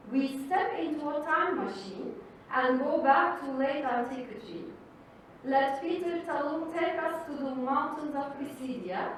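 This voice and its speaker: A woman whose first language is Turkish, hosting a wine evening and giving a formal, measured welcome speech. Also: high voice, fairly bright tone, slight background noise. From Turkey. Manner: slow, measured, formal delivery. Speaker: event host and wine professional. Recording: distant mic in an echoey hall.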